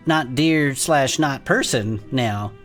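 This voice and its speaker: A woman's voice: extremely low pitch, takes it slowly, soft background music. A woman playing a wry, easygoing podcast co-host in a comedic audio drama, speaking casually and with plenty of slang. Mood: wry musing. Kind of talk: conversational comedic audio fiction.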